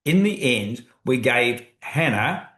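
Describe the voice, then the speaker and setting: A man's voice: medium pitch, big pitch swings, takes it slowly, fairly bright tone. A man speaking as a co-author in a webinar, explaining at length and restarting phrases as he thinks aloud. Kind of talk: webinar talk. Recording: roomy echo.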